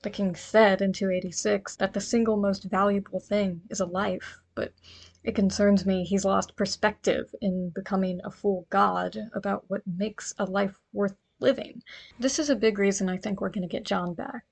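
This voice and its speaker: A woman's voice: mid-range voice, fairly even intonation, moderate pace, vocal fry, a little mellow. A woman giving a prepared listener voice message, speaking earnestly and with enthusiasm about a story.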